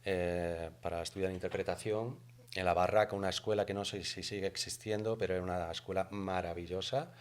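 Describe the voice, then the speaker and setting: A man, a guest actor talking in a relaxed, reflective way with frequent hesitations. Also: sits low, fairly quick. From Galicia, Spain. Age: 50s. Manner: reflective and hesitant, with many restarts and fillers. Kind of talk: casual interview chat.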